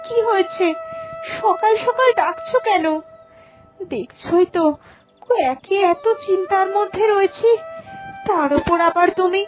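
A woman's voice: extremely high pitch, unhurried, pauses often, music in the background. A woman voicing an anxious, pious poor farmer's wife in a cartoon folk tale, with a plaintive, imploring manner. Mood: worried irritation. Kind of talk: Bengali animated folk-tale acting.

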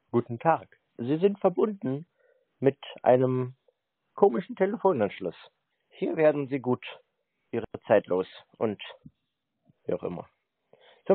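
A man with a slightly high voice banters in a casual, mocking way. He sounds sarcastic and teasing. The sound is thin and phone-like, with no room to it. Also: no room sound. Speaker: prank call recipient baiting scam callers.

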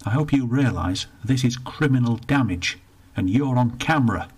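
A harassed young attendant, as a man puts him on, flustered and placating, stumbling over his words. The voice is pitched up above the reader's own, with a husky grain under it. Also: lively intonation, moderate pace, a little mellow. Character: harassed young male shop attendant, patient then exasperated.

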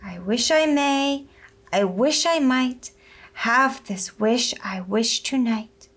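Gentle puppet-tale queen, played by a woman, wistful and earnest, slow singsong delivery, lifted pitch. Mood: wishful.

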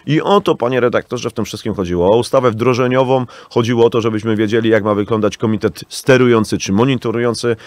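A man's voice: mid-range voice, quick pace, hardly any pauses, a little mellow, no room sound. A man in his thirties speaking as a guest politician in a radio interview, insistent and full of hesitant fillers, addressing the host formally. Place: small radio studio with acoustic panels. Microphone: studio microphone on arm.

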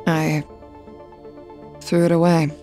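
A woman's voice: sits low, little pitch movement, slow, dark tone, faint music behind. A woman playing a controlling, bitter middle-aged mother in an audio drama, haughty, clipped and evasive. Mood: guarded, disdainful.

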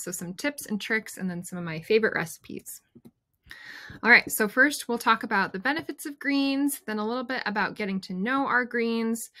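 A woman in her thirties giving a slide-based nutrition lesson, friendly and steady with frequent ums, recorded on a webcam. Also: on the high side. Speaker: nutrition educator. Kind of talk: slide presentation talk. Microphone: webcam recording, screen share.

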